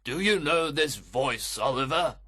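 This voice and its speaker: A man voicing a pompous middle-aged workhouse official in a storybook reading, booming and self-assured, with a husky voice. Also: lively intonation, a little slow, pushed and bright, full character voice.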